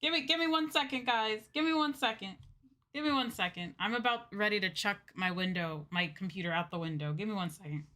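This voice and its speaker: A woman's voice: medium pitch, brisk, pushed and bright. A woman hosting a casual livestream, chatting to viewers in a flustered, scattered, apologetic manner. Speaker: VTuber livestream host.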